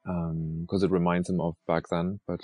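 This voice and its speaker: Man from Germany, very deep voice, dry sound, soft-spoken, hesitant, lots of ums. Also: flat delivery, normal speed, slightly rough, no room sound. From Germany and Norway. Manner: soft-spoken, hesitant, many fillers and restarts. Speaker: artist guest. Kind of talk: casual interview answers.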